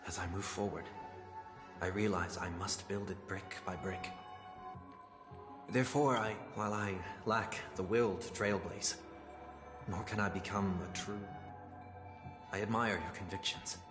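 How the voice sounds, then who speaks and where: An actor playing a refined, earnest fallen idealist in a video game, speaking formally and eloquently. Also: male voice, sits low, steady tone, measured pace, long pauses, reverberant, big-room sound, over music. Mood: earnest, reflective. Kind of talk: dramatic video game dialogue.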